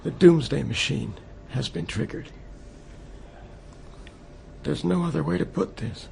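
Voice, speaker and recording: An actor playing a grave, resigned leader addressing officials in a radio play. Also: male voice, a little high, animated, unhurried, gravelly, darkish tone, a little hiss or hum, exaggerated voice.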